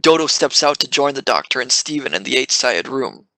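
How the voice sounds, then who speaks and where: A man's voice: mid-range voice, sing-song, a little fast, gravelly, sharp tone, dry recording, low-quality recording. A man reading scripted stage narration for an audio drama.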